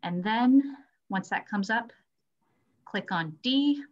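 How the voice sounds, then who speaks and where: A woman's voice: on the high side, lively intonation, slow. Woman hosting a webinar, dull call audio. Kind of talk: casual webinar introduction and instructions. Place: indoors, on a video call. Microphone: video call microphone.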